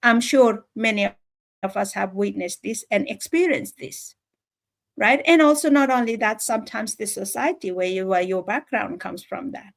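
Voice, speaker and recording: A woman from Nepal with a Nepali accent, giving an informal motivational talk in an earnest, emphatic, halting way, often repeating phrases. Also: medium pitch, expressive, creaky. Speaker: livestream speaker.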